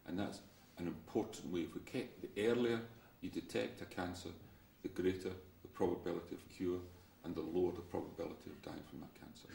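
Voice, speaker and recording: A man giving an interview statement as a researcher, explaining points clearly one by one. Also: deep voice, fairly even intonation, fairly quick, few pauses, dark tone. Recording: roomy, distant, faint noise.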